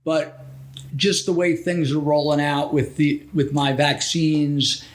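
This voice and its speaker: A man's voice: on the high side, level tone, takes it slowly. A middle-aged or older man co-hosting a podcast in casual, conversational talk. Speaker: podcast co-host.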